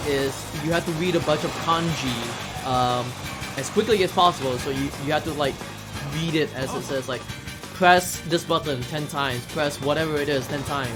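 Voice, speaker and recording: Man speedrunner, halting talk over loud game music. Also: fairly high pitch. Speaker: speedrunner playing and explaining a video game. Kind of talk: casual live speedrun commentary. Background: video game music.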